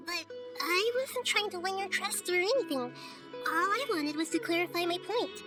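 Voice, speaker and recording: A woman voicing a small, wise child goddess in a game, speaking in a soft, youthful, measured and precise way. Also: very high-pitched. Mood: calm, thoughtful. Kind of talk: anime-style game dialogue. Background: background music.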